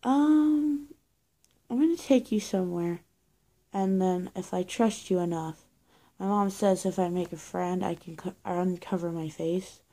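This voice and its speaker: A woman's voice: lowish voice, measured pace, mellow. A young woman acting out an improvised roleplay on her own, speaking from behind a scarf with a slightly muffled voice. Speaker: amateur roleplay video creator. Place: small plain indoor room. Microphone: phone or webcam at arm's length.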